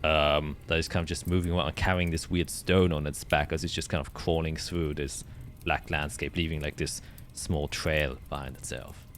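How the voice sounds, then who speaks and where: A man improvising narration as game master of a tabletop fantasy game, often pausing to search for his words. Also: rumbling low, steady tone, slight background noise.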